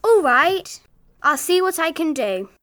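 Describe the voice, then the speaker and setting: An earnest little girl from a children's storybook. Her voice is very high and bright, with lively swings. She speaks plainly and with a soft heart. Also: a little slow, dry recording, exaggerated voice.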